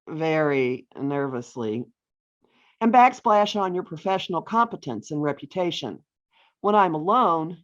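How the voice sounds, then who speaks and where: A middle-aged or older woman reading her own humorous essay aloud with deliberate phrasing and wry emphasis, as an author on a video call. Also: deep voice. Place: virtual author talk over video call. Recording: dull, lo-fi online call audio.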